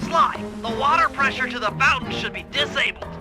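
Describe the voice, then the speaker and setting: A nerdy planner from a video game. The voice is extremely high for a man and very precise, with a pedantic briefing manner. Music plays clearly behind it. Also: brisk. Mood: focused, instructive. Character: nerdy, precise male brainy mission planner. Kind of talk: video game cutscene acting. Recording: background music.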